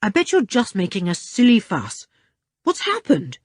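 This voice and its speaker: A calm, stern boy who leads the group, read by a woman, level and commanding with a scornful edge. Her voice is husky and dry, with lively swings in pitch. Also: measured pace, dry recording, heavily acted. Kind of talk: audiobook character reading.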